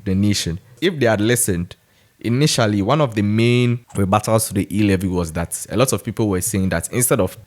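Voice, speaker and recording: A man with a Ghanaian accent shares his opinions as an informal commentator. His voice sits on the low side. He talks conversationally, in a frank and critical manner. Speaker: commentator giving his views on national news.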